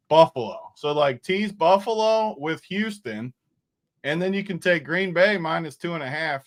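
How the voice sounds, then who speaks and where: A man co-hosting a sports betting show, chatting casually and laughing often. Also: fairly high pitch.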